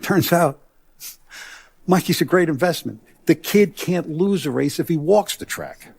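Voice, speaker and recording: A man voicing a middle-aged mob boss in a video game, paternal and proud, speaking deliberately and with authority in streetwise gangster talk. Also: on the high side, lively intonation, creaky, a little mellow, breathy edge, full character voice. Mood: businesslike, confiding. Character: commanding, paternal, proud mob boss, principled yet ruthless. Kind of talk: dramatic video game voice acting.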